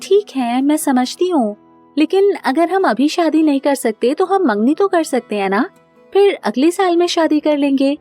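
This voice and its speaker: A woman's voice: sits high, lively intonation, normal speed, dark tone, faint music behind, voice pushed up. A woman voicing an earnest, anxious young woman in love, emotional and pleading, in a cartoon family drama. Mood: worried, pleading.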